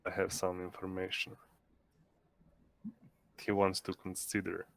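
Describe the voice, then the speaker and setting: Slow, low-voiced man playing a tabletop game. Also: completely flat delivery, creaky, fairly bright tone, slight background noise. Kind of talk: casual in-character roleplay.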